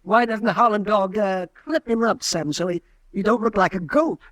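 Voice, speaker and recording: An actor playing a crusty older frontier town doctor who drawls skeptical questions, in 1950s radio drama style. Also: male voice, high voice, moderate pace, a bit bright, no echo, studio quality.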